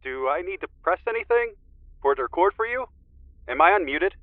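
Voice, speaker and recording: An actor playing a mellowed, gently teasing Zen teacher, a guest heard through a call filter in an audio drama. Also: male voice, high-pitched, expressive, brisk, narrow, tinny audio. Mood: cheerful. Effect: remote call filter. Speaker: podcast guest. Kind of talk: audio drama phone interview. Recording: band-limited, radio-like call sound.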